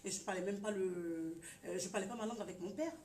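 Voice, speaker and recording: A woman hosting a talk show who listens attentively, answers with brief acknowledgments and asks gentle questions. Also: mid-range voice, fast talker. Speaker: host. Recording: echoey room, voice from far off, faint noise.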